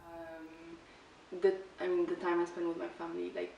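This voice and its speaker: An eighteen-year-old woman from Lebanon answering personal questions in a relaxed, reflective way, full of "like" fillers. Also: fairly low pitch, moderate pace, creaky, distant mic, muffled recording. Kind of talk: casual personal answers to camera. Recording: echoey room, speaker set back from the mic, faint hiss.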